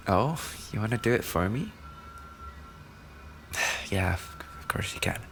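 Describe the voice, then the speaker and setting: A man playing an affectionate, injured racing driver boyfriend in an intimate roleplay audio, speaking softly and tenderly, often close to a whisper. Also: lowish voice, animated, slow pace, faint music behind, exaggerated voice. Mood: relaxed, affectionate.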